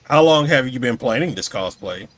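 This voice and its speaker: Male podcast host, mid-range voice with lively swings in intonation, informal, slightly halting questions. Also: mid-range voice. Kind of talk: casual interview chat.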